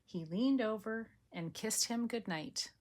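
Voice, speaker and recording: An older woman, a grandmother, reading a bedtime storybook aloud in a gentle, soothing way. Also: a little high, slow.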